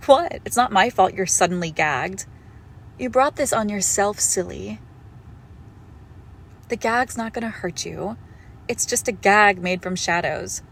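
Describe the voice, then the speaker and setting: A monstrous girlfriend, played by a woman, speaks very slowly with a creaky edge, sweetly menacing and possessive. Her phrasing is intimate and condescending, like a horror roleplay for one listener. There is faint noise behind her. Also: mid-range voice, animated, a bit bright. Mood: irritated. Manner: condescending, darkly affectionate, drawn-out deliberate phrasing. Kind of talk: intimate horror roleplay audio, slow and hushed.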